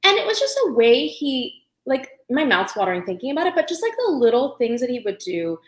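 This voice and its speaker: A middle-aged woman with a light Indian accent and a somewhat high voice, telling stories with lively asides. Her voice rings in a roomy, echoey space. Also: brisk. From India, raised in Pennsylvania. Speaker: guest, food blogger and cookbook author. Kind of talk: conversational interview storytelling. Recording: roomy echo.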